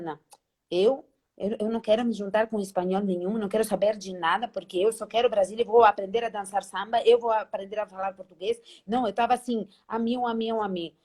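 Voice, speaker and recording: A middle-aged woman from Spain with a Spanish accent, a guest on a video-call interview, telling animated stories and acting out old conversations. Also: mid-range voice. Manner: animated, acts out past dialogues, frequent "né?" tags. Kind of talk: casual storytelling interview.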